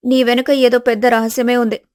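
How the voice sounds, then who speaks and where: A woman's voice: a little high, little pitch movement, a little fast, darkish tone, no room sound, clean studio sound, natural voice. A woman voicing a haughty, suspicious elder daughter-in-law with an accusing, sarcastic manner in a village family story.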